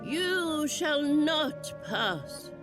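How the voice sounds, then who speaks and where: Ghost, solemn, commanding, slightly high. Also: female voice, big pitch swings, drawn-out words, heavily acted. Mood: stern. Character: ghostly woman guarding a mill, stern. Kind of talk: video game cutscene voice acting. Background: game music.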